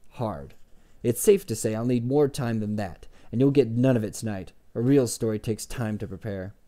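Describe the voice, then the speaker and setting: A man's voice: on the low side, moderate pace, dark tone, close to an everyday voice. A man voicing a guarded, sarcastic innkeeper in an audiobook, curt and cool in his commanding way of talking. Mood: cool, insistent.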